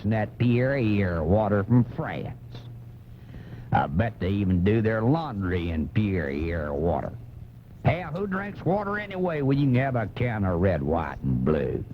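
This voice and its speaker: A man's voice: on the low side, slight background noise. A man voicing an angry, blustering American redneck commentator in a folksy, indignant comic radio rant. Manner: folksy, indignant, drawn-out insults. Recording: muffled off-air cassette, faint music.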